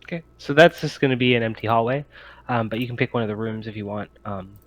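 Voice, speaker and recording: A man running a tabletop game as game master, improvising descriptions in a halting way with many pauses. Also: mid-range voice, steady tone, no room sound, poor audio.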